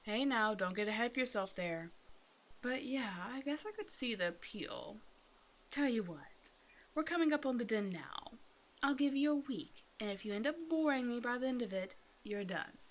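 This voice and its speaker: A woman's voice: on the high side, animated, moderate pace, lightly acted. A woman playing a predatory female naga in an ASMR roleplay, talking to the listener with teasing, condescending, casual menace. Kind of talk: ASMR roleplay, one-sided scripted dialogue to the listener.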